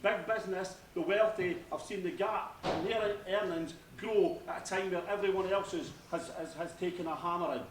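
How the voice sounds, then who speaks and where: A man giving a loud, forceful campaign speech as a candidate at a debate, repeating phrases for emphasis. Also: high voice, moderate pace, hardly any pauses. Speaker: election candidate. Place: university debate hall. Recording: echoey hall, far from the mic, faint noise.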